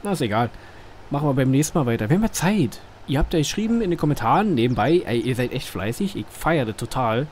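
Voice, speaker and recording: A man's voice: medium pitch, lively intonation, fast talker, darkish tone, slight background noise. A man with a Berlin dialect, casually commenting on a farming game as the channel's host, rambling and thinking aloud with lots of fillers.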